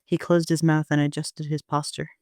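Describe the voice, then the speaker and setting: Male amateur narrator: slightly high voice, flat and understated, gravelly fry, first-person story reading. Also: normal speed, no room sound, studio quality.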